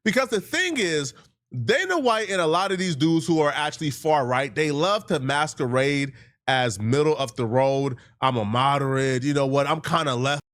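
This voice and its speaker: Male commentator, slightly high pitch, casual and slangy, emphatic opinionated delivery. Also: moderate pace, fairly bright tone. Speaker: video commentator.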